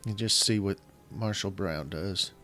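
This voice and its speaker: A man with a slightly scratchy voice, casually commenting while he plays a strategy game and thinking aloud in halting, self-correcting phrases. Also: sits low, pushed and bright. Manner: thinks aloud, halting, restarts mid-sentence. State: slightly scratchy voice from illness and yelling. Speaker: gaming channel host. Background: faint game music.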